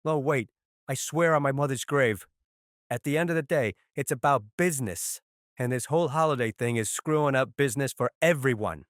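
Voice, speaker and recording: An actor playing a blustering, irritable mob boss with gruff, indignant street slang in a dramatized crime comic. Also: male voice, medium pitch, moderate pace, no echo, clean studio sound, lightly acted. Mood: alarmed, pleading.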